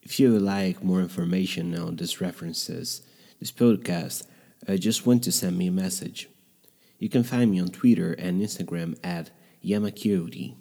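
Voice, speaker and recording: A man whose first language is Portuguese, hosting his own podcast from his bedroom with a reflective, measured scripted narration. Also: sits low, vocal fry, mellow, breathy edge, in a large hall. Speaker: podcast host. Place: bedroom in a noisy neighborhood.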